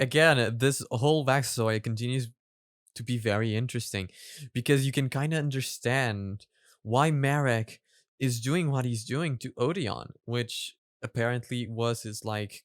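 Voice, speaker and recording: A man hosting a reaction video, giving casual commentary in a halting way as he rephrases his explanations. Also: medium pitch, no room sound, studio quality.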